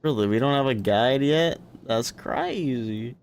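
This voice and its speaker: A man's voice: medium pitch, expressive, unhurried, creaky, clear, resonant tone. A man giving casual livestream game commentary, informal and self-deprecating as he thinks aloud. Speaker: gaming livestreamer. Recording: music playing behind the voice. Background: music.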